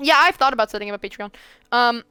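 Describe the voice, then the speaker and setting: Woman host, clear voice, dry close sound, rambling chat, lots of fillers. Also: fairly high pitch, fast talker, sharp tone, dry recording, soft background music. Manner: rambling, many fillers and restarts. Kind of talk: casual chat while building in a game.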